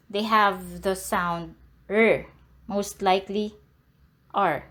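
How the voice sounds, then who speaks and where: A woman with a Filipino accent lectures on English sounds, pronouncing letters and sounds one at a time. She talks very slowly and deliberately at a mid pitch, like a teacher going over slides. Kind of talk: slow, deliberate classroom lecture.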